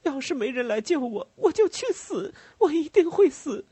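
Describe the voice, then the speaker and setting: Male narrator as a desperate young woman, very high voice, defiant, pleading, emphatic, audiobook drama. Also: sing-song, moderate pace, mellow, no echo.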